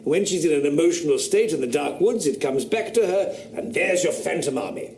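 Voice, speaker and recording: An actor playing a skeptical 18th-century gentleman scholar who talks down to a witness with rhetorical flourish. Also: fairly high pitch, animated, roomy sound, a little hiss or hum. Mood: condescending. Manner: brisk, condescending, rhetorical. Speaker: investigator questioning a witness. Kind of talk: radio drama acting.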